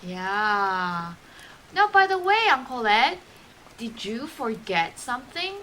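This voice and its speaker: A woman's voice: a little high, big pitch swings, slow pace, fairly bright tone. A woman co-hosting a scripted children's show, speaking expressively to young children.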